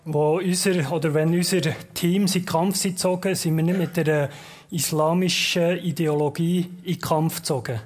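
Man, fairly high voice, live church interpreter. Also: steady tone. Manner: quick rendering, occasional restarts.

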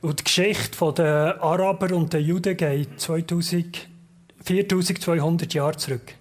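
A man's voice: fairly high pitch, fairly even intonation. A man interpreting a guest speaker's talk phrase by phrase in a church. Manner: quick rendering, occasional restarts. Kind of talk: live consecutive interpreting.

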